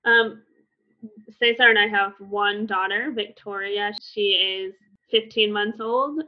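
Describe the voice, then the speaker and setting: A woman in her thirties who teaches Spanish, explaining things in a relaxed, conversational way with frequent fillers. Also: mid-range voice, takes it slowly. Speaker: Spanish instructor. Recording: slightly muffled lo-fi recording.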